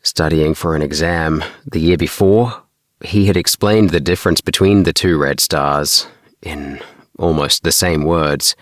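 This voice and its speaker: A man reads a bedtime audiobook in a low voice. His pace is slow and unhurried, and the sound is close and dry, with no room around it. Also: dry recording. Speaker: audiobook reader. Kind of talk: scripted bedtime story narration.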